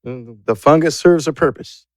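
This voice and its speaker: A man acting as game master, narrating an improvised tabletop game in a halting, conversational, jokey way. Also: on the high side, no room sound.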